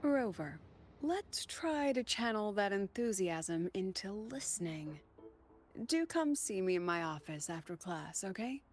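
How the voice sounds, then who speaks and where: Academy president, video game: composed, curious, mid-pitched, measured with dramatic pauses, over music. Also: female voice, very animated. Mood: composed authority. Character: poised, intrigued woman academy president and lecturer.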